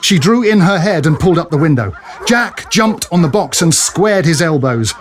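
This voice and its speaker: High-pitched male storyteller. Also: lively intonation, moderate pace, noisy background. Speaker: narrator. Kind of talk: dramatic scripted radio narration. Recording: music and sound effects behind.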